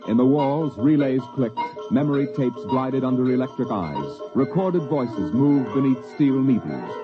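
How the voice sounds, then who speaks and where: An American man narrating a 1950s radio drama in a measured, portentous, dramatic manner. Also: medium pitch, animated. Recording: muffled 1950s radio, music behind.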